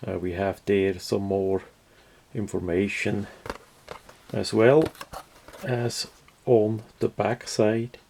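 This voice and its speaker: A man reviews a product in an unhurried, creaky voice. He is a non-native English speaker with a casual, unscripted style. His delivery is mellow and matter-of-fact, halting often to find the next word. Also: fairly low pitch, level tone, slight background noise. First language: not English.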